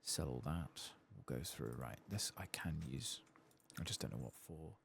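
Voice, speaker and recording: Very deep, gravelly soft-spoken man. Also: animated, measured pace, slight background noise. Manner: muttered, absent-minded thinking aloud, casual swearing. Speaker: host of a gameplay channel. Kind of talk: soft-spoken ASMR gameplay commentary.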